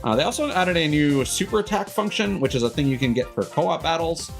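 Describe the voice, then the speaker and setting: A man's voice: a little high, lively intonation, fairly quick, gravelly. Rambling male streamer over background music. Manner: self-deprecating, rambling, sweary. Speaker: live streamer. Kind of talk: casual chat, game stream commentary. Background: cartoonish upbeat game music.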